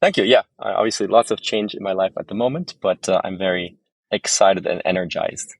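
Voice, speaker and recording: A man in his thirties speaks in a very low voice with some gravel in it. He answers interview questions in a measured, articulate way, with careful phrasing. The sound is dry, with little room on it. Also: no echo. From Germany, raised abroad in several countries. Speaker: podcast guest, startup founder.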